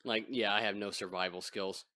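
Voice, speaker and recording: A man with a deep voice hosting a casual podcast chat, crude and self-deprecating. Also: steady tone, moderate pace, bright, forceful tone, no echo. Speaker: podcast host. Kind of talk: casual chat over beers.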